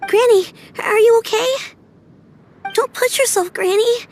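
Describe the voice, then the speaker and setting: A woman's voice: very high-pitched, measured pace, slight background noise. A woman voicing a small, caring child in a video game. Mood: worried. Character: small caring child, worried about granny. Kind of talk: video game character acting.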